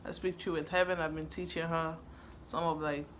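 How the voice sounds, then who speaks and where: Chatty woman with a bright voice. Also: sits low, flat delivery, moderate pace, slight background noise. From Ghana. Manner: laid-back, chatty, laughs easily. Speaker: vlogger answering questions. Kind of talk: casual chat.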